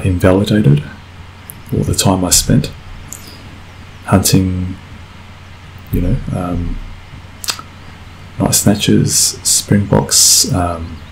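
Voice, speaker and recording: Croaky guy with a cold, super low gravelly voice, rambling game commentary, faint hiss behind. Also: low-quality recording. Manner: rambling, self-correcting, frequent fillers. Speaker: let's play gamer. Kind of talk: casual gameplay commentary.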